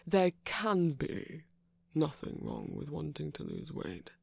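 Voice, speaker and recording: A man as a husky, tender villain. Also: fairly high pitch, huge pitch swings, heavily acted. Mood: earnest, careful. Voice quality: husky, creaky, breathy. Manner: soft, deliberate, tender coaxing with whispered phrase endings. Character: affectionate, concerned male villain, slim and sickly. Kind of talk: intimate ASMR roleplay.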